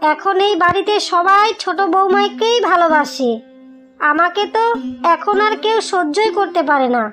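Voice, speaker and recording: Woman acting very high, bitter jealous cartoon wife. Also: fairly bright tone. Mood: angry, sulking. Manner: complaining, sarcastic, vindictive. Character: jealous, resentful elder daughter-in-law, scheming and bitter. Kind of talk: Bengali moral-story cartoon acting. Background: background music.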